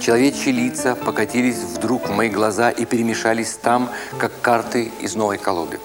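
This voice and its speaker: A man reads a first-person literary story as a dramatic narrator, with expressive, deliberate phrasing. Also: medium pitch. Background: music.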